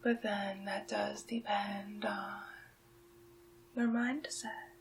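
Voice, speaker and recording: Very slow, whispering witch played by a woman. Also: mid-range voice, little pitch movement, creaky, a little hiss or hum. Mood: coaxing. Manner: hushed, drawn-out, teasing, theatrical. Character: sly, possessive medieval witch holding a captive huntsman. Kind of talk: ASMR roleplay, soft speaking and whispering.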